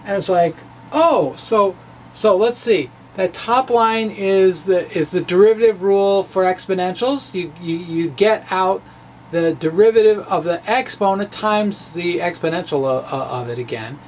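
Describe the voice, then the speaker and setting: Halting, informal explainer over background noise. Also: mid-range voice. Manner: halting, self-interrupting, conversational. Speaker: amateur physicist explaining own work. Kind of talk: informal off-the-cuff physics explanation.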